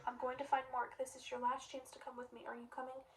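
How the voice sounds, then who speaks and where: A woman's voice: high-pitched, completely flat delivery, fast talker, fairly bright tone, distant mic. A woman reading the lines of a panicked teenage boy who pleads urgently and insistently.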